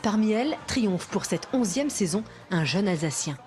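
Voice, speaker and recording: A woman presenter narrating a TV report in a clear, lively scripted delivery. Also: mid-range voice, normal speed.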